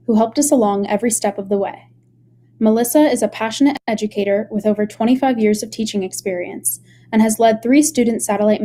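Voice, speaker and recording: A teenage girl student giving a measured, formally read science presentation. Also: on the high side, dark tone, long reverb tail. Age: teens. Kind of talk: reading a scripted presentation.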